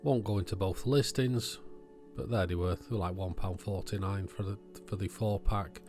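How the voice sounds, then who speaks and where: A man with a fairly low voice talks casually through a hands-on hobby task. His delivery is unscripted and matter-of-fact, as if thinking aloud, with some music in the recording. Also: steady tone, normal speed. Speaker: electronics hobbyist video host. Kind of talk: casual hands-on unboxing and testing commentary.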